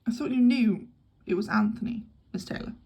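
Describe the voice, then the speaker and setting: A woman's voice: a little high, fairly quick, darkish tone, slightly rough. A woman reading an audiobook, voicing a wry, easygoing young man working as a tour guide, in a dry, lightly ironic tone.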